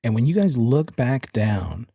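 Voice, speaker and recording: A man's voice: mid-range voice, sing-song, unhurried, dark tone, breathy edge, no room sound, studio quality. A man narrating an improvised tabletop horror game as game master, in a casual, slangy and vivid way.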